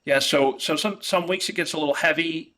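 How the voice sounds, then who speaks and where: Male professor, New York accent, Italian first language, bright and fairly high voice, stop-start virtual lecture over a call. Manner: halting, many fillers and restarts. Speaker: economics professor. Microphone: video call.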